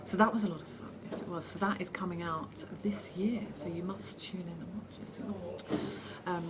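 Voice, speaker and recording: A woman's voice: fairly low pitch, moderate pace, over a noisy crowd. A woman actress giving casual, chatty interview answers in a self-deprecating way, reading the questions out herself. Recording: steady background noise.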